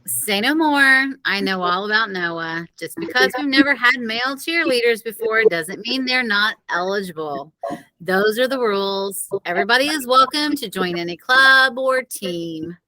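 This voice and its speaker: A woman voicing a firm, fair-minded female teacher and coach in a children's audiobook, speaking in a measured, admonishing manner. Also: on the high side, bright, forceful tone, poor audio. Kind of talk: children's audiobook character reading.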